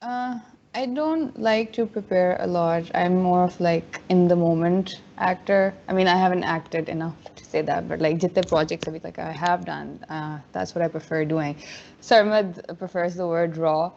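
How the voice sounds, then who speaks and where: A woman's voice: fairly low pitch, steady tone, normal speed, creaky, a little mellow, dull audio, slight background noise. A woman, an actress answering candidly and easily, slipping in Urdu words. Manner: candid, easygoing, mixes in Urdu. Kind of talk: festival Q&A answer. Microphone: video call.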